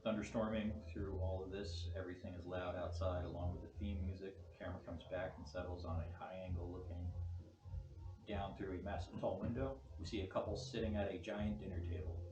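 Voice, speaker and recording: A man with a fairly low voice leads a table read, explaining the script and reading stage directions. He is recorded at a distance from the mic, with plenty of room echo. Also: steady tone, brisk, lo-fi sound, a crowd in the background. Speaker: filmmaker leading a table read. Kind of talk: casual project briefing, then reading screen directions aloud. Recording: echoey room, distant mic, loud noise.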